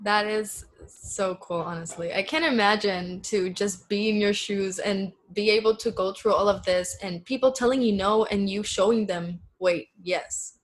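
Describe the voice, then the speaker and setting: A woman's voice: mid-range voice, steady tone, normal speed, hardly any pauses. Encouraging podcast host woman. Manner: supportive, encouraging. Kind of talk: casual conversational interview.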